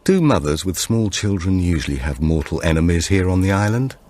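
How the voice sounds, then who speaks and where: A man's voice: low voice, expressive, a little fast, a little mellow. A man voicing a practical, questioning young woman in an audiobook thriller.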